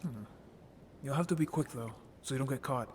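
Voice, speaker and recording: A man playing a shy, curious and anxious young merfolk in an audio roleplay drama, with a soft, hesitant delivery. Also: mid-range voice, a little fast, a little hiss or hum, lightly acted.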